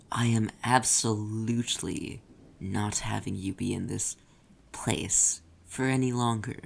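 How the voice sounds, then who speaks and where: A man playing a silky, possessive demon in an ASMR roleplay, speaking deliberately and teasingly in a low, gravelly voice. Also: darkish tone, slight background noise. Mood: possessive, coaxing. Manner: slow, deliberate, teasing, theatrical. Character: possessive, silky demon claiming an owned soul.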